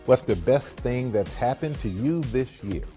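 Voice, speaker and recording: A man's voice: medium pitch, lively intonation, normal speed, mellow, soft background music. A man interviewing a guest in a curious, conversational way. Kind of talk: TV interview questions.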